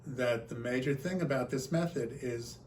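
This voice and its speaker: A man's voice: mid-range voice, normal speed. Man telling a story, noticeable background noise. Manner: animated storyteller, acts out quoted exchanges. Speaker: conference speaker. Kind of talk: personal storytelling in a talk.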